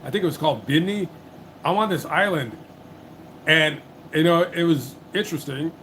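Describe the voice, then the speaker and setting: A man's voice: on the high side, moderate pace, fairly bright tone, slight background noise. A man hosting a livestream, chatting casually and rambling with lots of swearing and restarts. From United States. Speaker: livestream host.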